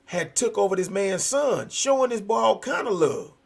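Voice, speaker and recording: An American man with a fairly high voice hosts a talk show. He talks conversationally with a preaching streak, leaning hard on repeated phrases to make his point. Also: expressive, normal speed, gravelly, slightly rough. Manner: emphatic, repetitive. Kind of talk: animated conversational preaching and chat. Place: virtual video show.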